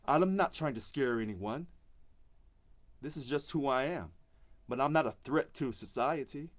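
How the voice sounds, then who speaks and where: A man with a deep voice, a union presidency candidate, speaking on a live stream and repeating key phrases for emphasis. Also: expressive, measured pace. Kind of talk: campaign speech on a live stream.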